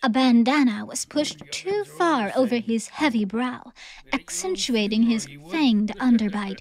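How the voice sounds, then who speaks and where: A woman's voice: a little high, expressive, measured pace, darkish tone. A woman narrating a theatrical audiobook from a script, in a storytelling style.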